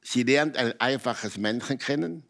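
An older man with a Swiss German accent, telling personal stories as a talk show guest in emphatic, halting phrases with repetitions. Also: medium pitch, vocal fry, fairly bright tone. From Switzerland. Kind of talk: candid personal storytelling in conversation. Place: TV talk show studio with audience.

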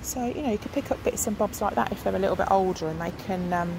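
A woman's voice: mid-range voice, fast, vocal fry. A middle-aged woman chatting casually as she films aisles in a discount shop on her phone, thinking aloud with lots of ums. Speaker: shopping vlogger. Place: inside a large discount shop. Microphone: phone held while filming. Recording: noisy shop ambience.

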